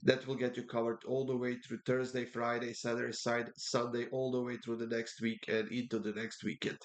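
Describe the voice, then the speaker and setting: A man's voice: mid-range voice, little pitch movement. A man with a congested voice from a cold, giving casual soccer betting picks, with lots of fillers and repeats. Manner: halting, many uh fillers and repeats. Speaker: sports betting tipster. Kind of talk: casual betting preview talk.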